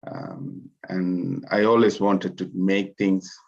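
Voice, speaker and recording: A man from Sri Lanka introduces himself on a video call in a very deep, gravelly, husky voice that stays flat. He speaks hesitantly and pauses often on filler sounds. The call audio sounds dull and lo-fi. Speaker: student.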